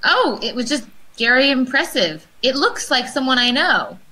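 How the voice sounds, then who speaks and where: A young woman playing a tabletop game, chatting in a quick, playful way on a video call. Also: sits high, big pitch swings, sharp tone, poor audio. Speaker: player. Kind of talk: casual tabletop banter. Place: home room on a video call.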